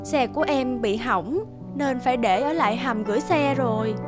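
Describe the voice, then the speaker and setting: A woman playing a soft-hearted, hesitant young office worker in a cartoon, speaking politely. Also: high voice, moderate pace, over music, close to an everyday voice. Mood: polite, puzzled. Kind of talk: cartoon dub acting.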